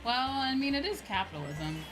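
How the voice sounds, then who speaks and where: A woman's voice: high-pitched, very animated, normal speed, low-quality recording. A woman chatting casually on a livestream as a VTuber, giving opinions with frequent tag questions and some swearing. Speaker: VTuber streamer. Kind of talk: casual livestream chat while gaming. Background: video game music.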